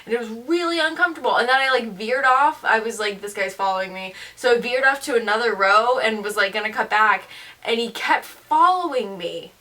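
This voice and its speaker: A young woman of seventeen telling a story casually in an animated, emphatic, slangy way, as a vlogger. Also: a little high, fairly quick, distant mic. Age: teens, seventeen.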